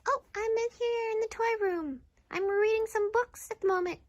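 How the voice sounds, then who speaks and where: A woman voicing an excitable, childlike male puppet in a children's skit, bubbly and given to repeating phrases. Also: extremely high pitch, normal speed, dry recording. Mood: chirpy, eager.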